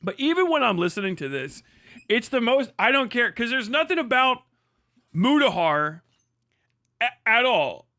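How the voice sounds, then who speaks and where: A man's voice: very high voice, big pitch swings, moderate pace, pauses often, bright, forceful tone, no room sound. A man hosting a livestream, delivering a profane, mocking commentary rant and laughing often. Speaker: livestream host.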